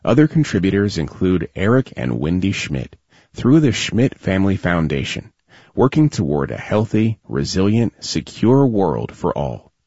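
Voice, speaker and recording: A man's voice: deep voice, sing-song, moderate pace, dark tone, breathy edge, no echo, muffled recording. A man reading a scripted sponsorship credit as a radio announcer.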